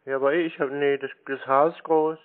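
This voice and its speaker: A man on a phone call, answering tersely in a deadpan, obtuse way. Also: on the high side, fairly quick. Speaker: scam-baiter playing a customer on the phone. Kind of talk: phone conversation. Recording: tinny, narrow-band phone line.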